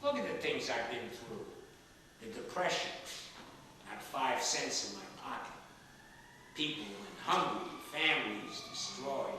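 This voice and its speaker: A man's voice: mid-range voice, big pitch swings, measured pace. Echoey, feisty old father played by a man. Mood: wry. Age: older. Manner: emphatic, sardonic, theatrical. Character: feisty, wisecracking elderly widowed father, defiantly lively. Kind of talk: comedic stage play acting. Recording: echoey theater stage, distant mic, faint noise.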